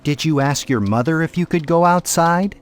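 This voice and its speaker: A man's voice: fairly high pitch, moderate pace, close to an everyday voice. A man playing a distracted, put-upon father in a video game, mild, droll and reluctant. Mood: resigned. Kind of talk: video game cutscene voice acting with tutorial instructions.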